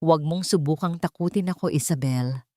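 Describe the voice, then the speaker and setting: A woman's voice: low voice, brisk, no echo, natural voice. A woman narrator playing a betrayed wealthy husband in a romantic drama story. He is cold and controlled, with a stern, authoritative manner. The recording is dry. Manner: calm, sharp, authoritative.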